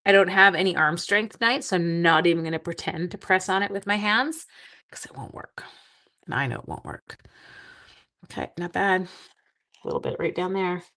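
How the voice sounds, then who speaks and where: A woman's voice: fairly low pitch, moderate pace, long pauses, pushed and bright. A sleepy, groggy woman hosting a casual crafting live stream, chatting with viewers as she works and making playful little sound effects. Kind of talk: casual crafting demo with chat.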